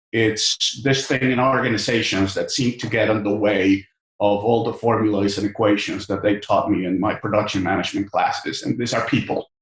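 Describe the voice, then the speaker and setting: Low-voiced Mexican man, roomy sound. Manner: thoughtful, many fillers and repeated words. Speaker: business school professor, guest. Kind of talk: podcast interview. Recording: echoey room.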